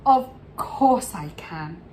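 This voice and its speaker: A woman voicing a kindly, excitable grandmother possum from a storybook, in an emphatic, encouraging way. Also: medium pitch, very slow, a little hiss or hum. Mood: reassuring. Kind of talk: storybook character voice.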